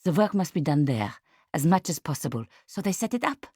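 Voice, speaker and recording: A woman voicing a commanding, excitable female art agent in an audiobook, with emphatic, slightly stilted phrasing. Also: low-pitched, fairly quick, no echo, studio quality. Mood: brisk, exultant. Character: diplomatic, bossy, excitable French female art agent, exultant and insistent.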